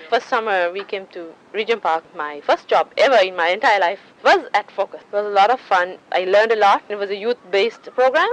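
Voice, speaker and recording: A woman's voice: mid-range voice, low-quality recording. A woman in her twenties from Sri Lanka, chatting casually about her life in an interview, with frequent restarts and fillers. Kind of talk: casual personal storytelling.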